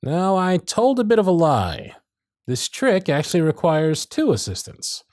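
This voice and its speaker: A man playing a showy stage magician, addressing the crowd in grand, drawn-out, theatrical patter for an audio roleplay. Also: on the high side, huge pitch swings, a little mellow, no room sound, clean studio sound. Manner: grand projected patter, drawn-out dramatic phrasing. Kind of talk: ASMR roleplay stage performance.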